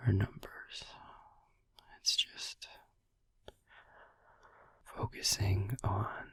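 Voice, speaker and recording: A man playing a gentle, caring vampire boyfriend in a soft, halting, breathy whisper for a sleep-aid ASMR roleplay, close to the microphone. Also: fairly low pitch, no echo, exaggerated voice. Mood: calm, soothing. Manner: soft, halting, soothing, casual. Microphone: close, dry microphone.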